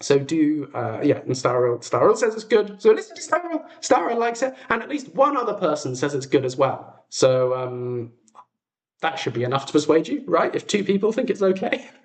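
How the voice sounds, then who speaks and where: Male streamer, fairly high-pitched and expressive, informal and rambling with self-deprecating asides, recorded in an echoey makeshift setup. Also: moderate pace. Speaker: livestream host and audio drama creator. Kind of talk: casual livestream chat. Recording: echoey untreated room.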